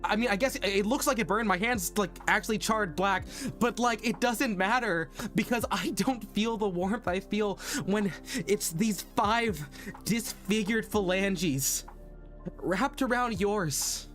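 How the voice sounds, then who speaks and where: Undead patchwork lover male actor: high voice, earnest, halting, theatrical pleading, music bed. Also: a bit bright. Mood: anguished. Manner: halting, earnest dramatic monologue with repeated phrases. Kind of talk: theatrical romantic monologue.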